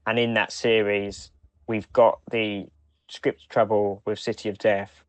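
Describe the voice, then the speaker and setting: Low-voiced man chatting on a podcast. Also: little pitch movement, unhurried, no echo. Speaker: podcast co-host. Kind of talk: casual discussion.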